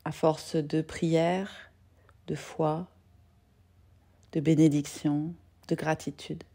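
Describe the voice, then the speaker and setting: A woman yoga nidra teacher leading a guided meditation in a gentle, soothing, soft-spoken manner with long pauses. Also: low voice, little pitch movement.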